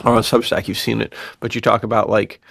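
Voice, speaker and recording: Male co-host, lowish gravelly voice, slangy and agreeable, recorded dry. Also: level tone, quick pace, a bit bright, no echo. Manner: slangy and agreeable, calls his co-host dude. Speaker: podcast co-host. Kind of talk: casual conversational podcast chat.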